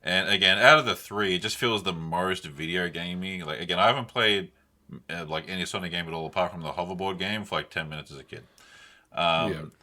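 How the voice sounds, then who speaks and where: Male podcaster, low voice with a bright edge, slangy casual delivery. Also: fairly quick. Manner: informal, slangy, mildly sweary. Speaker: podcast host. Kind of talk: casual chat.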